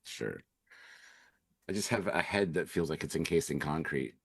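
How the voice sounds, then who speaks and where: A man with a very deep voice, made raspy and congested by a cold. He talks in a laid-back, halting way, with plenty of pauses and hedges as he reviews an episode live. The sound is dry and close, with no room around it. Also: no echo. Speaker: co-host. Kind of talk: live-stream review chat.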